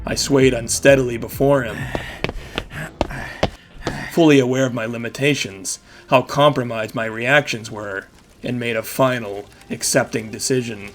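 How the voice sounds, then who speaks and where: A man's voice: medium pitch, fairly even intonation, moderate pace, lightly acted. An actor playing a battered vigilante who narrates his own story in intense, clipped phrases, in the style of an audio drama. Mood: grim resolve. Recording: music behind the voice. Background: dramatic music.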